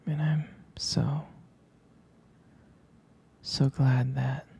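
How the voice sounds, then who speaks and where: A man playing a devoted, smitten boyfriend in an intimate ASMR roleplay, speaking in a breathy, husky whisper, hesitant and tenderly repeating his phrases. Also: mid-range voice, level tone, extremely slow, a little mellow, full character voice. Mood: tender, wistful.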